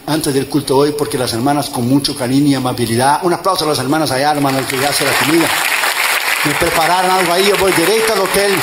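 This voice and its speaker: A man in his fifties, an evangelist preaching a sermon, tired, emphatic, mixing jokes with loud rising exhortation. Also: a little high. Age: 50s. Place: church in front of a congregation. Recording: echoey church with crowd and noise.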